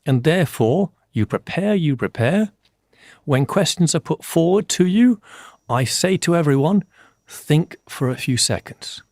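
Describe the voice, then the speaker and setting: An older man from Scotland, a forensic scientist, answering interview questions in a measured, explanatory way and repeating key points for emphasis. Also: a little high, animated, darkish tone, no echo, studio quality.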